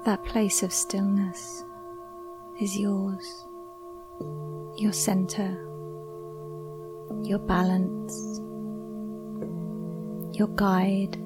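Female meditation guide: very unhurried, soothing, hushed delivery in short spaced phrases, over prominent singing bowl tones. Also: mid-range voice, completely flat delivery, over music. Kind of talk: slow guided meditation narration. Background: ringing Tibetan singing bowls.

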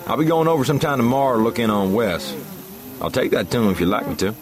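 A man's voice: mid-range voice, quick pace, lightly acted. A man playing a laconic, solicitous cattleman with folksy rural phrasing in an audiobook drama. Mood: casual. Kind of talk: audiobook full-cast drama acting.

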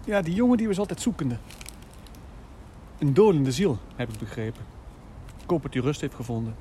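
An actor playing a kindly, evasive, middle-aged village priest in a naturalistic audio drama, musing and gently paternal. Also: male voice, on the high side, sing-song, a little fast, slight background noise. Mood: wistful, solemn.

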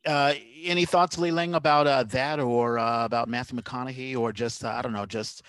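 A man's voice: mid-range voice, steady tone, normal speed, a bit bright, clear, resonant tone, no room sound. A man hosting a podcast in a casual, rambling conversational style. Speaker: podcast host. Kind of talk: casual interview chat.

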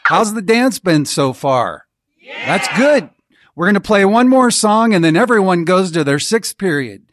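A man's voice: high voice, very animated, unhurried, background noise. A male amateur actor playing an upbeat announcer at a school dance in a radio play.

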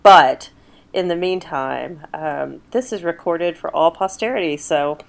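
A woman co-hosting a podcast in casual chat, raspy and half losing her voice from a cold, laughing often. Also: fairly low pitch, slow pace. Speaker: podcast co-host.

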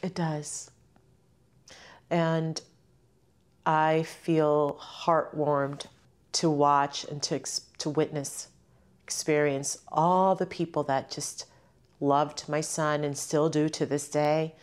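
Middle-aged woman reflecting, slightly low voice. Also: flat delivery, takes it slowly, clean tone. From Puerto Rico-born, grew up in western Massachusetts from age two. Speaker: interview guest, mother and community organizer. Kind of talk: personal, reflective interview talk.

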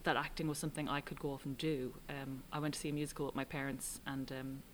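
A middle-aged Irish woman, a writer and director, answering interview questions in a thoughtful, halting way with frequent ums. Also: extremely low pitch, little pitch movement, a little hiss or hum. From Ireland. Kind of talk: relaxed reflective interview answers.

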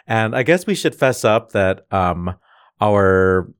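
A man's voice: lowish voice, lively intonation, slow, smooth, no echo, clean studio sound. A man co-hosting a podcast, joking in rambling casual banter with many fillers. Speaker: podcast co-host.

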